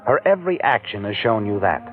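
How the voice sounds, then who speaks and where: A man's voice: lowish voice, very animated, a little fast. Taunting old-time radio narrator, man. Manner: insinuating, taunting. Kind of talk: second-person 1940s radio narration. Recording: tinny old radio recording with music.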